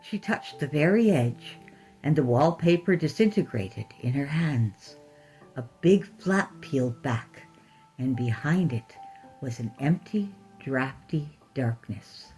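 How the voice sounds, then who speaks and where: A woman narrating a scripted horror story in a deliberate, expressive storytelling manner. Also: very deep voice, faint music behind, poor audio.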